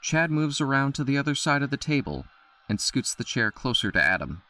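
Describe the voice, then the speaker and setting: A man giving steady, descriptive scripted narration for an audio drama. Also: mid-range voice, a little fast.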